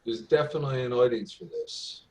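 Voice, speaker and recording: A man's voice: medium pitch, brisk, lightly acted, rough recording. A man playing an earnest film producer running a story meeting in a table read. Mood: businesslike.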